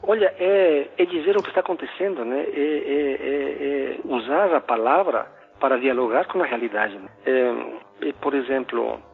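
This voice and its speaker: A middle-aged man from Ecuador with a Spanish accent, a philosophy professor telling a story in a casual, halting way with many restarts and fillers. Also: medium pitch, gravelly. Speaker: philosophy professor, podcast guest. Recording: phone-like narrow sound.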